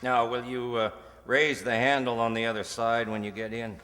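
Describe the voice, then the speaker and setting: A man's voice: medium pitch, level tone, moderate pace, a bit bright, close to an everyday voice. A man playing a wary, cautiously polite narcotics dealer in a radio crime drama, in an echoey hall. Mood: oily, cautious. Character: wary, smooth-talking male narcotics dealer. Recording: echoey hall, faint noise.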